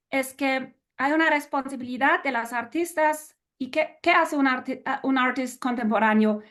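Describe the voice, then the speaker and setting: High-voiced woman, non-native Spanish speaker. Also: a bit bright. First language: not Spanish. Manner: hesitant, searching for words, switches into English. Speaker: audience member asking a question. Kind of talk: halting discussion question.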